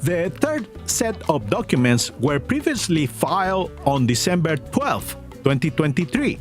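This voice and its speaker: A man's voice: a little high, big pitch swings, a little slow, faint music behind. A man with a non-native English accent reading court documents aloud in scripted commentary, with a mocking edge. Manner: mocking, reads legal text aloud. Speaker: commentary youtuber.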